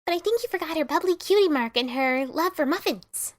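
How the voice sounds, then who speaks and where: Woman playing a high-voiced, eager pony mail carrier. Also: expressive, brisk. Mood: teasing. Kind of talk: fan-made dramatic reading.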